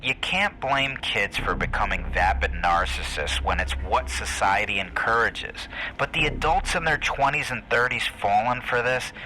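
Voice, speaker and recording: A man's voice: mid-range voice, normal speed, bright, forceful tone, slight background noise, rough recording. A man playing a cynical social commentator, delivering a sardonic, scornful rant. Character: cynical ranting male social commentator on a broadcast. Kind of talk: opinionated rant monologue.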